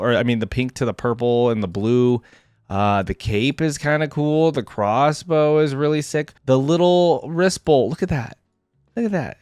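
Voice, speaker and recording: A man giving casual, unscripted reaction commentary as a gaming fan, slangy and thinking out loud as he second-guesses himself. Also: mid-range voice, clean tone, no echo, clean studio sound.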